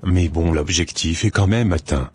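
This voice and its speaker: A man's voice: deep voice, normal speed, a little mellow, soft background music, close to an everyday voice. A man voicing a commanding, menacing evil occult master in a cartoon. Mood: scornful. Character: commanding male evil occult master scheming to destroy church members. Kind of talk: dramatic animated dialogue.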